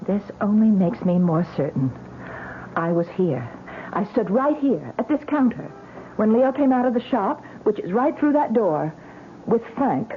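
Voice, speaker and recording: A woman's voice: medium pitch, sing-song, unhurried, narrow, tinny audio, slight background noise. An actress playing an anxious woman desperate to be believed in a vintage radio drama, earnest and wounded, by turns hesitant and emphatic. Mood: insistent. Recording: band-limited old radio recording with music.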